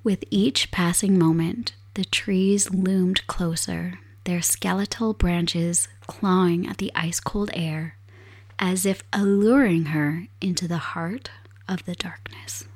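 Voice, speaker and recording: Hoarse woman host with a cold, slow and low-pitched, soft storyteller at a home desk. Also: fairly even intonation. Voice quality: raspy. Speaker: podcast host. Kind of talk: casual chat and storytelling. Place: home desk set up for streaming.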